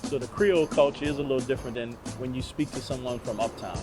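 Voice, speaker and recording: A man with a New Orleans accent speaks calmly in a documentary interview, at a medium pitch. Faint music sits behind him. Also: fast.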